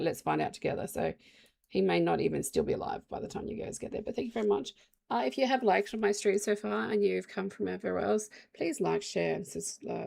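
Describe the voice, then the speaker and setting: A woman live streamer with the flu, hoarse, chatting casually and rambling to her viewers. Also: mid-range voice, mellow.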